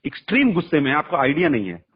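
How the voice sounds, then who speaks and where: A man's voice: a little high, sing-song, quick pace, husky. A man talking bluntly and emphatically in a heated phone conversation, arguing his case with rhetorical questions. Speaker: music artist's manager being interviewed. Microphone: phone call. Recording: muffled lo-fi phone call recording.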